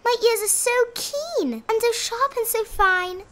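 A woman's voice: very high voice, a little slow, fairly bright tone, slight background noise, pitched above the performer's own voice. A woman voicing a boastful, self-important male rabbit from a children's storybook, in an emphatic bragging manner. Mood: proud, boastful. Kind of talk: animated children's storybook character reading.

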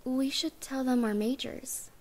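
A woman's voice: high voice, fairly even intonation, unhurried, darkish tone. A woman playing a shy first-year college girl who is new to goth, in a casual ASMR roleplay. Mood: shy, earnest.

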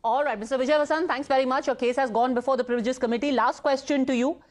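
A woman news anchor with a high voice questions her guest on live TV. She presses firmly, rephrasing questions until she gets an answer, in a formal, articulate delivery. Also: steady tone, fast, darkish tone. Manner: persistent, pointed questioning.

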